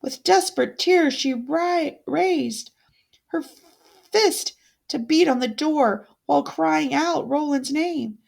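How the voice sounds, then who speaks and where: A woman reading a fairytale aloud as a storyteller, with deliberate, dramatic expression. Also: high voice, creaky, a little mellow.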